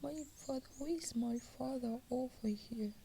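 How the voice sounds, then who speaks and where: A woman's voice: sits high, unhurried, dark tone, slight background noise, voice pushed up. A woman voicing a frightened young village girl, whispering and pleading.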